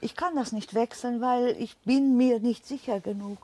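A woman in her sixties from Romania, with a Banat German accent, speaking as a guest author in a studio interview, slow and deliberate with many filler sounds. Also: on the high side, crowd noise. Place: television studio.

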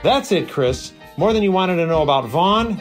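A man's voice: sits high, lively intonation, normal speed, soft background music. A man reading a prepared stats segment, dry and list-like with wry asides. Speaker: stats contributor.

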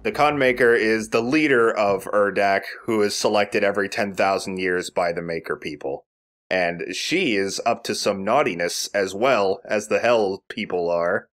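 A man's voice: lowish voice, normal speed, fairly bright tone. A man giving casual, explanatory game commentary with rambling asides. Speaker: let's play commentator.

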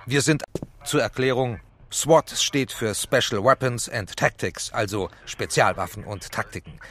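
A man playing a brisk SWAT team chief in a children's audio drama, speaking in terse, formal military jargon. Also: mid-range voice, a bit bright, slight background noise, close to an everyday voice. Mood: businesslike.

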